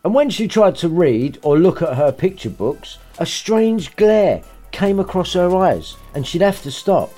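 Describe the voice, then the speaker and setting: A man's voice: sits high, sing-song, unhurried, few pauses, warm tone, soft background music. A man reading a children's story aloud and breaking off for sweary, sarcastic comments. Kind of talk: comic storybook reading with asides.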